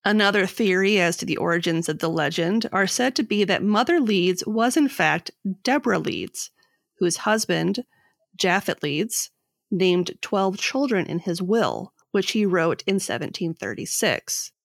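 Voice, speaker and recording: A woman in her thirties with a raspy, gravelly voice co-hosts a casual podcast. She reads her notes in a relaxed way, close on a dry mic. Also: medium pitch, unhurried, no echo. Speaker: podcast co-host.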